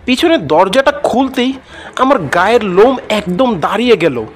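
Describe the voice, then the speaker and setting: A man's voice: high voice, expressive, normal speed, slight background noise. A man narrating a first-person horror story in a suspenseful, dramatic way, as a frightened and weary ambulance driver. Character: frightened, weary male ambulance driver telling his tale. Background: music.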